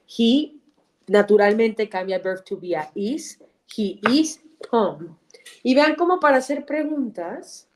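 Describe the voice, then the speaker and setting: A woman, a Spanish speaker teaching an English class live online. She is upbeat, switches between Spanish and English, repeats phrases and praises students by name. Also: medium pitch.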